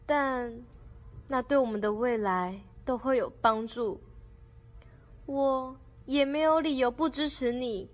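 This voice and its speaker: A woman's voice: sits high, slow. A woman with a Taiwanese accent playing a lovesick, melancholy teenage princess in a student radio drama, girlish and emotional. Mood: sad, clingy. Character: lovesick, melancholy eighteen-year-old princess.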